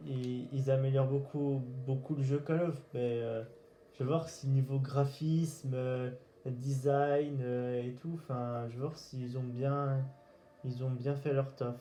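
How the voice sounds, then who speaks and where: A man giving casual gaming commentary in a halting, hesitant way, searching for words. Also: mid-range voice, flat delivery, warm tone. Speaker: gaming video host. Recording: roomy echo, faint background noise.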